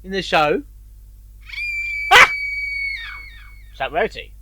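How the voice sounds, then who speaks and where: A man giving casual, off-the-cuff reaction commentary, excitable and full of repeated questions. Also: sits high, takes it slowly, shouting, background noise. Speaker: reaction host.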